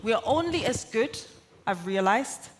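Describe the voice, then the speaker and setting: A woman's voice: a little high, animated, measured pace, slight background noise. A woman whose first language is German gives an earnest, emphatic conference talk, building her points in repeated lists. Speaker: keynote speaker.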